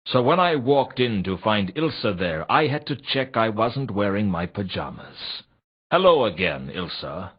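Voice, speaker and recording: Low-voiced, deadpan noir private eye. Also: male voice, measured pace, slightly rough, heavily acted. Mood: wistful, brooding. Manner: deadpan, dry, brooding delivery. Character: world-weary, wisecracking male private investigator, hardboiled noir. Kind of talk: scripted noir detective narration for a video game. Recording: slightly dull, lo-fi game audio.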